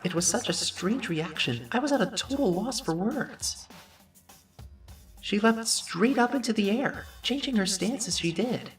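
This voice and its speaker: A woman's voice: medium pitch, expressive, a little slow, far from the mic, soft background music, natural voice. A woman playing an earnest, uncertain teenage boy who narrates an anime game fan dub in a thoughtful way. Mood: earnest narrating.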